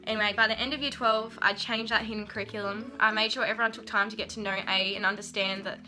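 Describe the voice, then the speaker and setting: Bright young Australian woman, earnest. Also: medium pitch, fairly even intonation, fast, soft background music, rough recording. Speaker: university student. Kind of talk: scripted narration for a class video.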